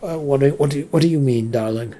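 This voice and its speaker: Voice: mid-range voice, a little fast, mellow, natural voice. A reader voicing a stressed male manager who stammers and gets flustered.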